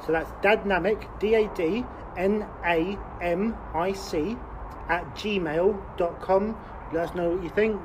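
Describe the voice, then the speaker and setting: A man's voice: sits high, takes it slowly, noisy background. A man, co-hosting a casual podcast chat. He rambles, swears a lot and laughs often. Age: late 20s. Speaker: podcast co-host.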